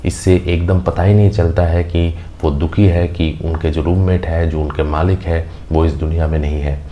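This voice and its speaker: Indian man, very low pitch, fairly flat intonation, emphatic news commentary, repetitive phrasing, faint background noise. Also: moderate pace, highs cut off. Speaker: host of a news commentary channel.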